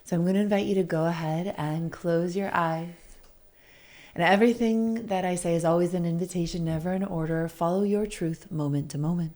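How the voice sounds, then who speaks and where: A woman with a fairly low, dark and relaxed voice guides a meditation. She speaks soothingly and deliberately, offering each instruction as a gentle invitation. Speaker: guest meditation facilitator.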